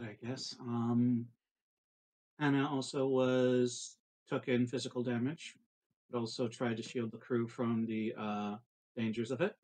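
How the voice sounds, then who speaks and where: A man's voice: mid-range voice, darkish tone, poor audio. Hesitant man playing a tabletop game. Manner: hesitant, understated. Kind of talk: casual improvised roleplay.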